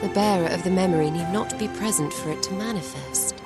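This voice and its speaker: An actress playing a refined, knowing fantasy champion with formal, precise diction in a video game cutscene. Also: female voice, fairly low pitch, a little fast, natural voice. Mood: scholarly. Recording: music playing behind.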